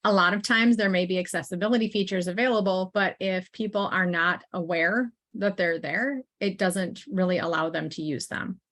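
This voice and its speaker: A woman's voice: on the low side, normal speed, few pauses, a bit bright. Conversational woman leading a webinar training. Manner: friendly and informal, with frequent fillers and asides. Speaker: assistive technology consultant presenting a training.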